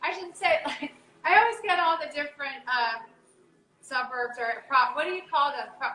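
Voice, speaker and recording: A woman's voice: sits high, moderate pace, bright, forceful tone, echoey room, rough recording. An American woman hosting a live cooking stream from her kitchen, chatting in a rambling way with many restarts. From United States. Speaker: cooking show host. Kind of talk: casual live-stream chat. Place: home kitchen.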